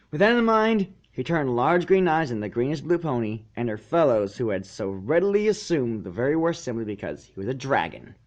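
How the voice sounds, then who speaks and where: A man's voice: mid-range voice, darkish tone. A man reading fan fiction aloud as narrator, sometimes stumbling and repeating a phrase. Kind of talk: scripted story narration.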